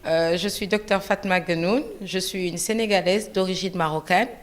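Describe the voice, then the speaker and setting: A woman doctor from Senegal introducing herself in an interview, calm and measured. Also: lowish voice, a little hiss or hum. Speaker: doctor, association vice-president.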